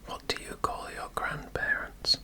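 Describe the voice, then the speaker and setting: A man from England with a mixed English and Welsh accent, doing a whispered ASMR accent tag, soft and hesitant with many pauses. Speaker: guest on girlfriend's ASMR channel.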